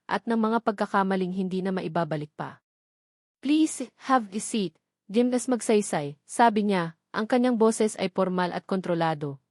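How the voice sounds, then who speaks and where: A woman's voice: medium pitch, a little mellow, no room sound. Woman narrating drama, dry close sound. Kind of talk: dramatic scripted narration of a love story.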